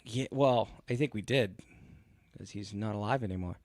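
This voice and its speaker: A man's voice: fairly low pitch, moderate pace, a little mellow, no echo. A man appearing as a guest on a podcast live stream, chatting casually with crude, self-mocking jokes.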